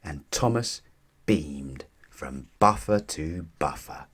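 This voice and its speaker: A man narrating a children's storybook aloud in an expressive, deliberate storytelling manner. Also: a bass voice, slightly rough.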